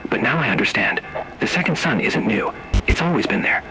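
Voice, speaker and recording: A man's voice: medium pitch, sing-song, fairly quick, pushed and bright, faint music behind, rough recording. A man voicing an entranced male teacher in an analog horror story, speaking in rhythmic, insistent, awestruck short sentences. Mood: entranced certainty.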